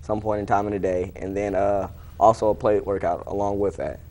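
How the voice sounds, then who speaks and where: A young American man with a fairly low voice answers interview questions casually. He is laconic and understated, keeping his phrasing plain and short. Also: level tone, a little fast, darkish tone. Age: young adult, about 18. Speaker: high school wrestler being interviewed.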